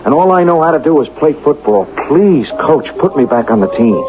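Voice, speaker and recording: An actor playing a gentle, guilt-stricken young husband and football player in a radio drama, hesitant and deferential. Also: male voice, on the high side, lively intonation, a little fast, lightly acted. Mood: anguished. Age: young adult. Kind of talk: radio mystery drama acting. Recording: muffled old radio recording with music.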